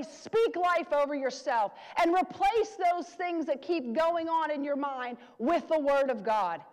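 A woman preacher giving a sermon, urging her listeners and repeating key phrases for emphasis. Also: sits high. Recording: long hall reverb.

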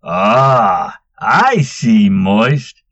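A man voicing a teasing, blunt barbarian warrior, speaking loud and lively. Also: sits low, slow, highs cut off, a little hiss or hum, exaggerated voice. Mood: mocking. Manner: mocking, blunt.

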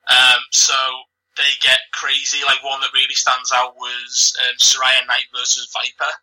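A man's voice: medium pitch, completely flat delivery, measured pace, sharp tone, poor audio. A man from Liverpool with a light Scouse accent, chatting as a guest in an interview with lots of ums and restarts. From Liverpool, England. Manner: hesitant, many ums and restarts. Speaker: wrestling commentator, guest. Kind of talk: casual podcast interview.

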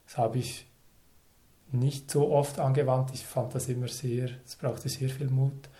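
A man, speaking as an interview guest in a thoughtful, measured way with short phrases and pauses. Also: mid-range voice, flat delivery, dark tone. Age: 30s. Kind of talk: reflective conversational interview.

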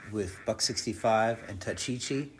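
A man's voice: fairly low pitch, moderate pace, slight background noise. A man hosting a podcast alone in a casual, rambling, off-the-cuff way. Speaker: podcast host. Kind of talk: casual solo podcast monologue. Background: instrumental hip-hop backing music.

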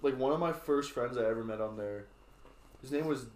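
Young man, relaxed storyteller, swears a lot, roomy echo. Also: medium pitch, fairly quick, crowd noise. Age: young adult. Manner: laid-back storytelling with heavy swearing and filler words. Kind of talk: casual chat among friends.

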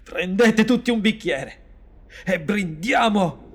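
A man's voice: sits high, takes it slowly, soft background music. An actor playing a frightened, weary male innkeeper of a forest inn in an Italian audio drama. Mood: bitter toast.

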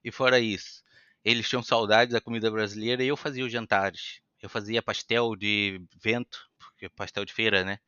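Casting: young Brazilian man, lightly European-tinged accent, animated storyteller, bright and crisp, slightly dull lo-fi audio. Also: on the low side, normal speed, no room sound. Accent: Brazilian Portuguese with some European Portuguese influence. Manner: animated, chatty, acts out quoted voices. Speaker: podcast guest. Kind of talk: casual storytelling interview.

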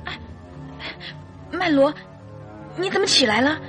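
An actress playing an anxious, secretive young wife in an old-fashioned radio drama, faltering and pleading. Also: female voice, high voice, lively intonation, unhurried, fairly bright tone, soft background music. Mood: nervous, evasive. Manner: faltering, breathy pleading with stammers.

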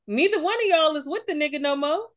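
A woman's voice: high-pitched, lively intonation, fast. A woman co-hosting a casual gossip podcast, blunt and emphatic, with plenty of swearing. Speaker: podcast co-host.

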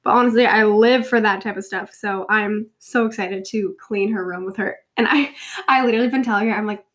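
A woman's voice: mid-range voice, steady tone, a little fast, a bit bright. A young woman in her twenties with a cold, talking casually as host of her own show, her voice congested and sniffly, rambling and joking at her own expense. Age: 20s. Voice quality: nasal, sniffly. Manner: rambling, self-deprecating, giggly. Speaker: podcast host. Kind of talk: casual solo chat.

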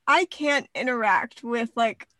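A woman's voice: on the high side, animated, a little slow, fairly bright tone, no room sound. A woman chatting casually with friends, rambling and playful. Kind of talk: casual chat.

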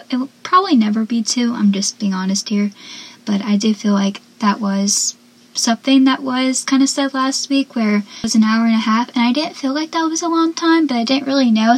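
A seventeen-year-old girl with a soft, young-sounding voice, chatting casually as a podcast host and dropping into whispered asides. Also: a little high. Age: teens. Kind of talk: casual solo podcast chat.

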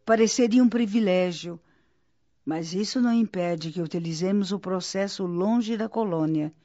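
A woman playing a kindly, wise old spirit nurse in a radio drama, speaking in an earnest, measured, teaching manner. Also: mid-range voice, dark tone, no room sound, natural voice. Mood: patient, instructive. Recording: dull lo-fi radio drama audio. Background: music.

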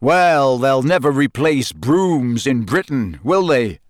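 A man's voice: mid-range voice, expressive, takes it slowly, dry recording, studio quality. A man voicing a jovial, careless, boyishly enthusiastic wizard in an audiobook, with a breezy, chummy manner. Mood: jovial, casual. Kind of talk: audiobook character voice.

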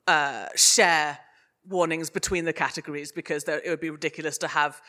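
A woman giving an informal panel talk as a guest, giggly and animated, often correcting herself as she goes. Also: sits low, brisk. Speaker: guest speaker, audio fiction producer. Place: live panel at a podcast festival.

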